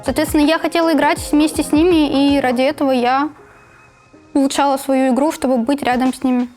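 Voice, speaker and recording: A woman's voice: high-pitched, steady tone, fairly quick, darkish tone. A 22-year-old woman from southern Russia answering interview questions as an esports player. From Cherkessk, Karachay-Cherkessia, Russia. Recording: music behind the voice.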